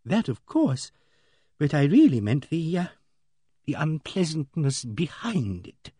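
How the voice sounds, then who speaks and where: A man's voice: on the high side, very animated, slow pace, mellow, slightly rough, dry recording, voice pushed up, heavily acted. A man voicing a genteel, pious elderly spinster in an audiobook, hesitant, easily shocked and agitated. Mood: hushed concern. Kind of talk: audiobook character dialogue.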